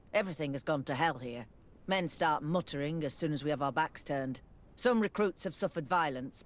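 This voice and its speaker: A woman playing a disgruntled, outspoken army lieutenant in a video game, emphatic and indignant. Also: low-pitched. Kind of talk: video game cutscene acting.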